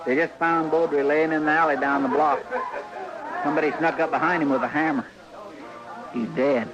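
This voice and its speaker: Excitable Western townsman, male actor, American, highish voice, folksy and chatty, muffled vintage radio with music. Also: moderate pace, natural voice. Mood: breaking news. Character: folksy, excitable frontier townsman, adult man. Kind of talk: old-time radio western acting. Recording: dull old radio recording, music behind.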